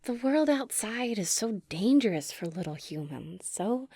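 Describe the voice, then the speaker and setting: A woman playing a gentle, excitable young giantess who dotes on a tiny human, cooing tenderly in an ASMR roleplay. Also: mid-range voice, unhurried, husky. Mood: fond, protective.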